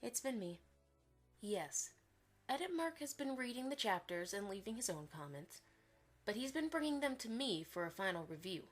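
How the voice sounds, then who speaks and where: A woman's voice: mid-range voice, big pitch swings, moderate pace, faint music behind. A woman playing a stern, commanding mare who runs a publishing house, lecturing bluntly in a fan audio drama. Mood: stern, firm. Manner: firm, lecturing.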